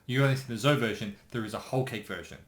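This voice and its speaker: A man co-hosting a casual reaction chat, speculating and thinking aloud in a halting way. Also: mid-range voice. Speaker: co-host, anime specialist. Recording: roomy, echoey sound.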